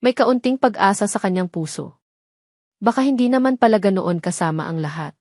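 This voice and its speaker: A woman's voice: medium pitch, lively intonation, a little fast, a little mellow, no room sound, clean studio sound. A woman reading a scripted romantic drama as a story narrator.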